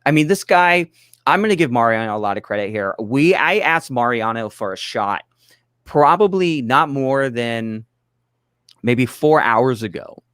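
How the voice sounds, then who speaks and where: A man's voice: mid-range voice, expressive, a little slow, a bit bright, clear, resonant tone, no echo, studio quality. A man explaining things in casual chat, emphatic and rambling, often breaking off to restart his point. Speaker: project collaborator, guest.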